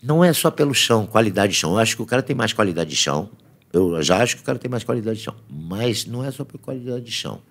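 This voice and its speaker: Lively older Brazilian man, slangy talk. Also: on the low side, fast talker. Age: 50s. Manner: animated storyteller, slangy, laughs often. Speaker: podcast guest, jiu-jitsu fighter. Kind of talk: casual chat. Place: small podcast studio with foam panels. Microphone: studio mic on boom arm, headphones.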